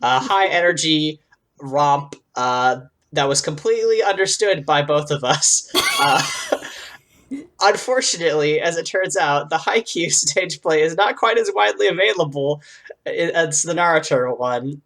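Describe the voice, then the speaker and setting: A woman with a fairly low voice co-hosts a casual comedy podcast. Her delivery swings widely in pitch, giggly and playfully exasperated, like someone chatting with a close friend. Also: animated, unhurried, a bit bright. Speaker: podcast co-host.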